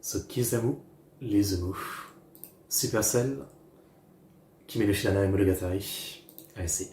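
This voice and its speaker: A man streamer giving casual live reaction commentary, talking haltingly in short phrases with pauses. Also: on the low side, mellow, a little hiss or hum. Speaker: streamer reacting to music videos. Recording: roomy echo, music playing underneath. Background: music from the videos.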